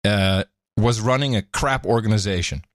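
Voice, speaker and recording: A man's voice: lowish voice, moderate pace, no room sound, studio quality. A man co-hosting a podcast in casual chat, wry and joking, often laughing. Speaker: podcast co-host.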